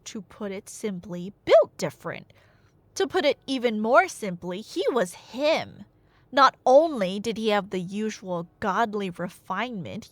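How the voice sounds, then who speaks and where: A woman's voice: mid-range voice, no room sound. A woman playing an enthusiastic nerdy girlfriend in an audio roleplay, telling stories in a casual, emphatic, colloquial ramble. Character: enthusiastic nerdy girlfriend explaining Greek myths.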